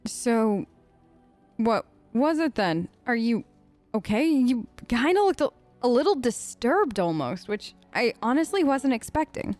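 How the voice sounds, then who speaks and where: A woman's voice: a little high, sing-song, a little slow, darkish tone, soft background music. A chatty woman doing role-play let's play commentary, answering game characters as if she were one of them. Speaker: gaming host, player.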